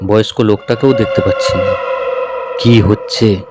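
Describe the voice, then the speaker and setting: Low-voiced man narrating a horror story. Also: normal speed. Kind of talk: scripted first-person horror story narration. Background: music.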